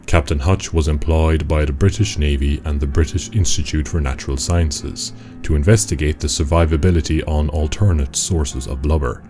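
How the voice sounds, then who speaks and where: Guy doing a super deep imp boss, formal and stern, radio play with faint music. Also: normal speed, hardly any pauses, warm tone. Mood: businesslike, formal. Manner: formal, pedantic, commanding. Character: stern, formal male imp, authoritative taskmaster of a conclave. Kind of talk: radio drama dialogue.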